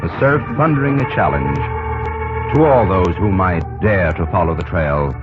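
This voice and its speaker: A very low-voiced American man delivers solemn scripted narration as a radio announcer. His delivery is grand and widely inflected, over loud music on an old recording. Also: animated, moderate pace, narrow, tinny audio. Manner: grand, dramatic.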